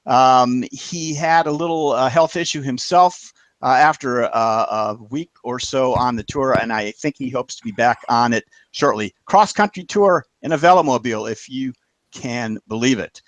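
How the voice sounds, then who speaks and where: A man hosting a web show, wrapping up with halting, filler-heavy announcements and friendly thanks to panelists and viewers. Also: mid-range voice, dry recording, poor audio. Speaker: show host.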